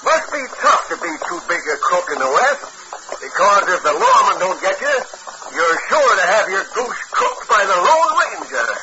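A man acting a folksy, excitable cowboy in a 1950s radio western. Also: high-pitched, normal speed. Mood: satisfied. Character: western rustlers and cattlemen, gruff and excitable. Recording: muffled, noisy old radio recording.

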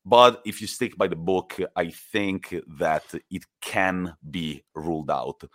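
Low-pitched man, emphatic football chat, dry close sound. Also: takes it slowly, no room sound. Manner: animated, emphatic storytelling. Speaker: podcast co-host.

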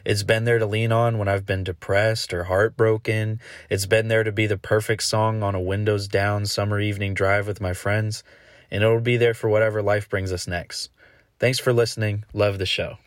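A man speaks in a heartfelt, reflective way, his voice fairly low. The recording is dry and close-miked. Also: flat delivery, normal speed, no room sound. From South Carolina. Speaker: podcast listener sending a voice message. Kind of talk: reading a prepared listener message.

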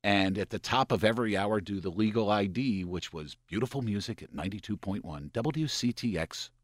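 A very deep, slightly gravelly voice belongs to a man in his sixties, an old radio hand from Pennsylvania. He tells his story in a relaxed, explaining way full of asides. The studio sound is close and dry. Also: fairly quick, dry recording. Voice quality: gravelly from scotch and cigarettes. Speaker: radio program director, guest. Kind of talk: casual interview storytelling.

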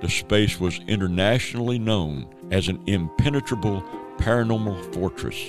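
A man's voice: on the low side, normal speed, music in the background. A man narrating a radio drama in a dramatic storytelling manner.

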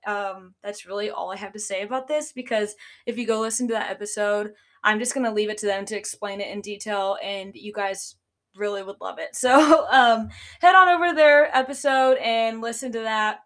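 A woman's voice: medium pitch, normal speed, fairly bright tone. A young woman hosting her own podcast, talking casually about running a business, with a stuffy, nasal sound and lots of "like" and "um" fillers. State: congested, nasal. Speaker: podcast host, business owner. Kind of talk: casual solo podcast talk.

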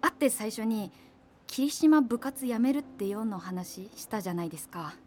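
A woman's voice: fairly high pitch, moderate pace, a little mellow, close to an everyday voice. An actress playing a cool-headed young woman in a radio drama, politely hedging yet blunt as she rebuffs someone. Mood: frank. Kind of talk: naturalistic radio drama dialogue.

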